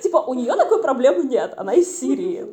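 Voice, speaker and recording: Host: woman, dark warm timbre, relaxed chat peppered with fillers. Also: high voice, brisk. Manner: loose, filler-heavy talk. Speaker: podcast host. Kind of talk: casual chat.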